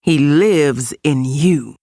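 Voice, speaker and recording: A woman's voice: low voice, very slow, warm tone, no room sound, studio quality, heavily acted. A woman voicing a wise old male baboon mentor in a children's storybook. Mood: knowing. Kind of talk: storybook character voice.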